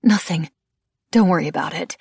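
A woman's voice: on the low side, moderate pace, vocal fry, breathy edge, dry recording, full character voice. A woman voicing a defiant, guarded young witch heroine in an audiobook, earnest and defensive.

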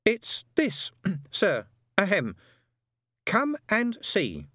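A jittery young delivery man fumbles for polite words in a thin, high voice. It swings up and down as he frets, with a dry, close sound. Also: wildly swooping pitch, very slow, pushed and bright, dry recording, exaggerated voice. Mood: nervous. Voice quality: flustered, stammering.

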